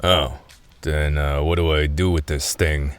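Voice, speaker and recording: A man's voice: a bass voice, flat delivery, unhurried, clear, resonant tone, dry recording. A man acting in an audio play as a wry, casual middle-aged thief. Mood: skeptical, casual. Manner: casual, wry, hesitant asides. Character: wisecracking middle-aged thief recruited to time-travel.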